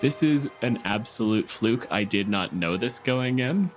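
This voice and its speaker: A man's voice: medium pitch, lively intonation, a little slow. A man acting as game master, narrating and refereeing a tabletop game in conversational speech. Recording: music playing under the voice.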